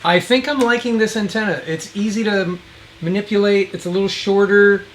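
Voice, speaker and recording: A man's voice: sits high, steady tone, fairly quick. A man casually demonstrating gear outdoors, thinking aloud in a folksy, rambling way, with some wind around him. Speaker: radio reviewer and video host. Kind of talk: casual hands-on gadget demo. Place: outdoors, windy.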